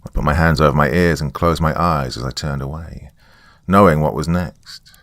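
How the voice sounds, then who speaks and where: A man's voice: a bass voice, fairly bright tone, no echo. A man giving a dramatic first-person reading of a scripted story. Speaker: horror story narrator.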